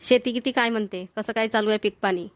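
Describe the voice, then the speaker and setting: A woman's voice: mid-range voice, fast talker, close to an everyday voice. A woman playing a kindly, talkative older mother-in-law in a village cartoon, with a rural Maharashtrian Marathi accent and homely manner. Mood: chatty. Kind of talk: Marathi cartoon family comedy voice-over.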